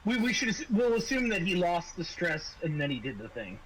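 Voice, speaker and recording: Higher-voiced man running a tabletop game. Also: very animated, fairly quick, a loud crowd, poor audio. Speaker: guest game master. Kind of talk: tabletop RPG narration and casual rules talk.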